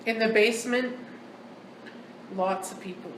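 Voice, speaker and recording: A woman on a ghost hunt speaks in halting single words, then chats about what she heard. She sounds set back from the microphone in a boomy, echoing cellar. There is loud background hiss beneath her voice. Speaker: paranormal investigator relaying words she hears. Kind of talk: casual ghost-hunt chatter. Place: old hotel wine cellar, basement. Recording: echoey cellar, distant mic, loud background noise.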